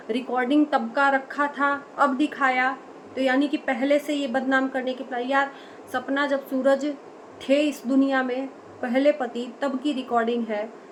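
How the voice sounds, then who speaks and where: A woman's voice: high voice, rough recording. A woman from India giving opinionated vlog commentary in an emphatic way, pressing her points with rhetorical questions. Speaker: online commentator. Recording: noticeable background noise.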